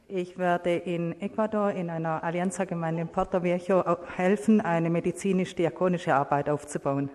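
A woman from southwest Germany briskly introduces herself as a missionary helper at a church service. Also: on the low side, steady tone, a little mellow. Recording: long church reverb, distant mic.

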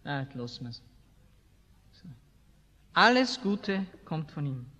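High-pitched Austrian man preaching in reverberant hall. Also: big pitch swings, slow, sharp tone. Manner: blunt, emphatic, rhetorical. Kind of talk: colloquial evangelical sermon. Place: large hall, preaching to a seated congregation. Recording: echoey hall with long reverb.

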